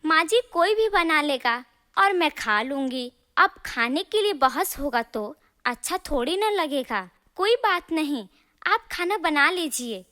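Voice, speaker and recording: A woman playing a defensive, huffy young daughter-in-law who complains and argues in an animated family story. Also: sits high, unhurried, heavily acted. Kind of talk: animated moral story dialogue.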